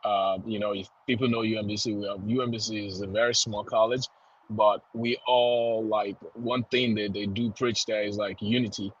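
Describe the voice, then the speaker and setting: A man's voice: fairly low pitch, fairly even intonation, moderate pace, lo-fi sound. A man with a Nigerian accent chatting informally as an interview guest, often adding "you know" and "man". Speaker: soccer club founder, podcast guest. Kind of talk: casual interview chat.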